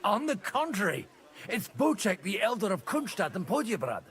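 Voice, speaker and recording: An actor playing a shrewd, worldly priest in a medieval video game, wry and persuasive in manner. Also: male voice, high-pitched, sing-song, normal speed, a bit bright. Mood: persuasive, pleased. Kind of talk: dramatic video game voice acting.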